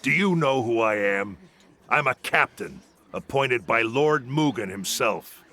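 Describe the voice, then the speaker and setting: An actor playing an arrogant, bullying drunken army captain in a video game, with a gravelly rasp and a sneering, swaggering delivery. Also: male voice, medium pitch, animated, a little slow, bright, forceful tone. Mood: boastful. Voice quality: gravelly, raspy. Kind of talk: scripted video game voice acting.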